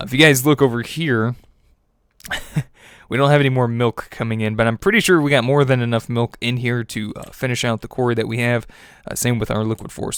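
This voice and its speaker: A man's voice: mid-range voice, clear, resonant tone, dry recording. A young man with a stuffy, congested voice, chatting casually as he narrates his own gameplay video. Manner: chatty, upbeat, with little laughs and fillers. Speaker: gaming video host. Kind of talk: casual let's-play commentary. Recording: faint music bed behind voice.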